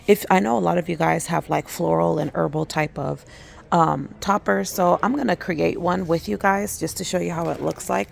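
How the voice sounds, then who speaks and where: A woman hosting her skincare channel, hoarse and losing her voice, chatting casually with lots of ums and likes as she walks through a craft store. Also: lowish voice. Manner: chatty, many fillers like um and like. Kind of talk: casual shopping vlog walkthrough.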